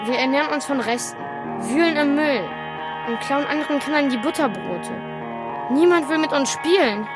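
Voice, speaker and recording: A woman's voice: sits high, lively intonation, normal speed, loud music behind the voice. A woman playing a scrappy, resentful child in a radio drama, reciting bluntly. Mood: resentful, defiant.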